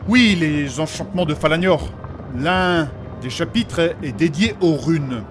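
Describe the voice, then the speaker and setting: A man's voice: a little high, lively intonation, unhurried, a little hiss or hum. A man playing a learned, formal and fussy scholar of magic in a comic fantasy audio drama, precise and prone to repeating himself. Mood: fussy, flustered. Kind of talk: French fantasy comedy audio fiction acting.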